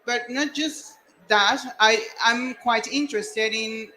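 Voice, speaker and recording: A woman's voice: on the high side, takes it slowly, pushed and bright, slight background noise, poor audio. A woman scientist speaking spontaneously on a panel, earnest and searching for her words.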